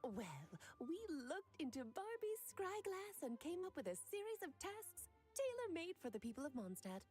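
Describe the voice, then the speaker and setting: A woman's voice: very high voice, big pitch swings, moderate pace, darkish tone, soft background music. A woman playing a playful, scheming mage aunt in a video game, with an airy, teasing and theatrical manner. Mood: breezy explaining. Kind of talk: video game character dialogue.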